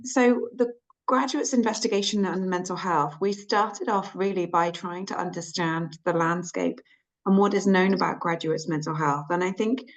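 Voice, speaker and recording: Woman with a croaky voice presenting research. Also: lowish voice. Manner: measured, explanatory, with frequent ums. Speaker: clinical psychologist presenting research. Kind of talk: webinar presentation.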